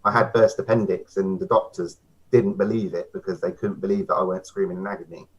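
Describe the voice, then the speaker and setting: A man's voice: deep voice, little pitch movement, fast. A man talking as a guest in casual chat, often repeating words as he starts. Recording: dull lo-fi sound.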